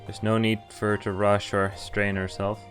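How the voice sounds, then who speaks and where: Male streamer, slightly low register, casual read-aloud delivery with self-corrections and chat asides, soft music underneath. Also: level tone, moderate pace. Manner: stumbles over read lines and corrects himself. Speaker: streamer reading a visual novel aloud. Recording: music playing behind the voice. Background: background music from the game.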